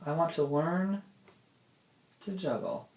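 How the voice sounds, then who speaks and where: A man's voice: fairly high pitch, takes it slowly, a little mellow, reverberant, low-quality recording. A young man thinking aloud in a casual audio diary, hesitant and often circling back on himself with repeated filler words. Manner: hesitant, repetitive, self-interrupting with many "like"s. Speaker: audio diarist.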